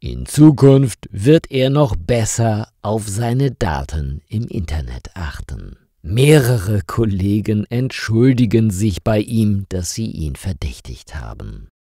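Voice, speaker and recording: A man narrating a scripted story slowly and with clear articulation for language learners. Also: fairly low pitch, no room sound, clean studio sound. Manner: deliberate, clearly articulated, lively intonation. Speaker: narrator of German learner stories.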